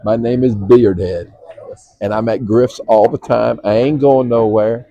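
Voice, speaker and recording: A man's voice: medium pitch, fairly even intonation, a little slow, dark tone, no room sound, highs cut off. A man commentating on a pool match in a casual, jokey way, swearing and laughing often. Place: pool hall.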